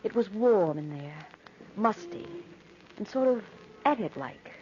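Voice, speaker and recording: An actress playing an affectionate young bride-to-be, speaking in an earnest old-time radio style. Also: female voice, medium pitch, very animated, unhurried, background noise. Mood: wistful, measured. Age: young adult. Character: affectionate young bride-to-be telling an eerie tale. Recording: dull old radio broadcast.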